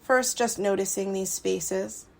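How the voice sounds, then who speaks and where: A woman leads a guided yoga meditation in a mid-pitched voice that stays very level, with little melodic movement. She is soothing and unhurried, setting out short phrases and leaving long pauses between them. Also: fairly even intonation, gravelly, a little mellow.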